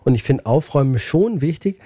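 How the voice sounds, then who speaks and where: A man in his forties speaks in a friendly, relaxed way. His voice is mellow and dark, recorded close and dry with no room sound. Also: on the high side, a little fast, no echo. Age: 40s. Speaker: teacher. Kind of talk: street interview statement.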